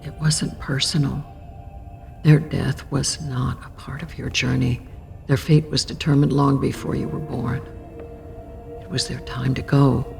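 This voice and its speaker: An actress playing a solemn, ageless female keeper of death in a horror audio drama, gentle but resolute, with a gravelly, deliberate delivery. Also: a bass voice, level tone, full character voice. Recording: faint music underneath.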